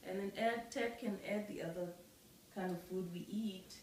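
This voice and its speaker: A middle-aged woman answering a child's interview questions in a relaxed home chat, explaining things with frequent ums. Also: mid-range voice, normal speed, slight background noise. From Southern Africa. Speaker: interviewee, parent. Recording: echoey room, mic far off.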